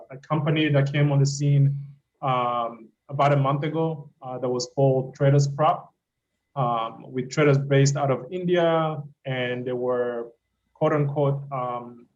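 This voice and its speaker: A man hosting an interview over a video call, rambling with plenty of "you know" and "uh". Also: medium pitch. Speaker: host. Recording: dull call audio with faint hiss.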